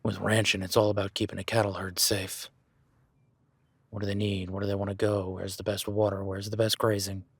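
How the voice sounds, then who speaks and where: Husky, low-voiced cowboy rancher, played by a man. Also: little pitch movement, fairly quick, no room sound. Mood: easygoing. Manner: folksy, plainspoken, talks to the listener. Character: easygoing seasoned male cowboy rancher. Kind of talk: audio roleplay.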